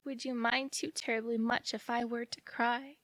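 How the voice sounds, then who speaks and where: A woman's voice: fairly high pitch, little pitch movement, normal speed, a bit bright, no echo, natural voice. A woman acting in audio theatre as an anxious, lovelorn young woman who rambles and questions herself fretfully. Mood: dejected, pleading.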